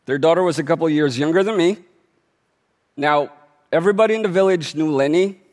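A man from the Philippines, giving a prepared storytelling talk with measured phrasing, deliberate pauses and wry asides. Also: fairly high pitch, darkish tone, in a large hall. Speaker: speaker.

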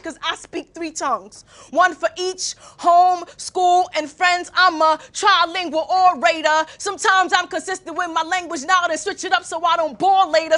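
A young woman poet with a very high, forceful voice. She declaims spoken word in an emphatic rhythm, shaping each phrase with deliberate, articulate diction. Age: young adult. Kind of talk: performed spoken word poetry.

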